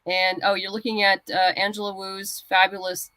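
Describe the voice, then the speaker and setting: Female guest creator, conversational and explanatory, slightly halting delivery, middle pitch, dry recording. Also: flat delivery, pushed and bright, no echo, poor audio. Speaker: guest writer and comics creator. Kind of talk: casual interview chat.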